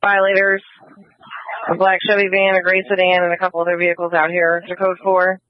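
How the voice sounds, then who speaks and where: A man's voice: high-pitched, completely flat delivery, fairly quick. A man speaking as an officer in police radio traffic, heard through a two-way radio. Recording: dry, tinny police scanner radio.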